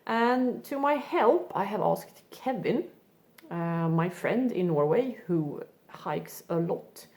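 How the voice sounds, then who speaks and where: A woman hosting her outdoor vlog, introducing the topic in a chatty way and pausing to search for words. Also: lowish voice, animated, a little mellow.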